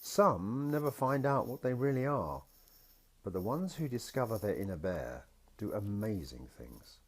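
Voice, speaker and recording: A man voicing a gentle, patient father in a picture-book story, reassuring his small daughter as he explains things. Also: on the low side, big pitch swings, measured pace, breathy edge, heavily acted.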